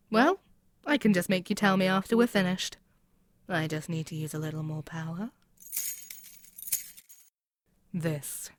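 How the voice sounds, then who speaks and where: A woman's voice: on the low side, no echo. A woman playing a haughty, scheming demon queen in an audio roleplay, taunting and menacing in a theatrical way. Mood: frustrated, puzzled. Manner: haughty, taunting, theatrical, dropping at times to near-whisper. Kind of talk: scripted ASMR audio roleplay, dramatic monologue.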